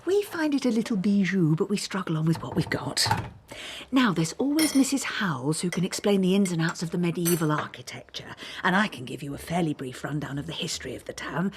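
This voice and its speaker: An actress playing a talkative, prim and proud small-town historian with an English accent and quaint phrasing, in a radio drama. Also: female voice, fairly low pitch, expressive, a little fast, slight background noise, close to an everyday voice. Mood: helpful, proud. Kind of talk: naturalistic radio drama acting.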